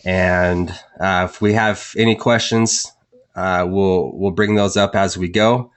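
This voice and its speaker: Male webinar host, very low voice, halting intro full of fillers. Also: steady tone. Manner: halting, many fillers and restarts. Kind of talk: casual introduction.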